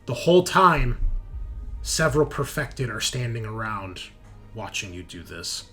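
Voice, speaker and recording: A man narrating as a game master in deliberate, suspenseful short phrases, moving between dramatic storytelling and table talk. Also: mid-range voice, sing-song, fairly bright tone. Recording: music behind the voice. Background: background music.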